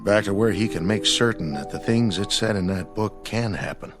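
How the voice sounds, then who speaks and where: A man acting an earnest, awed ordinary fellow in a radio drama, halting and dramatic. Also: lowish voice, close to an everyday voice. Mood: hushed awe. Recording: music behind the voice.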